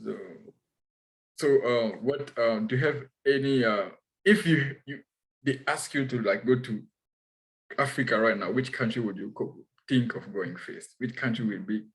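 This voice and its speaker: Young congolese guy hosting a chill chat, mid pitch, laughs a lot, stops and restarts. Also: moderate pace, poor audio. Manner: laughs often, hesitant restarts. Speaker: host. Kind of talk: casual chat.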